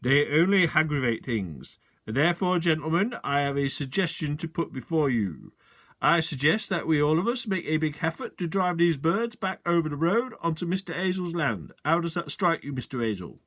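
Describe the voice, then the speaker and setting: A man's voice: a little high, lively intonation, moderate pace, a bit bright, dry recording, studio quality, voice pushed up. A man voicing a sly, commanding village policeman who speaks in a bluff, hearty manner. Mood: persuasive.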